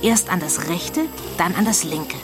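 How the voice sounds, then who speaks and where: A woman narrating a children's radio play in a measured, deliberate storytelling style. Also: fairly low pitch, music in the background.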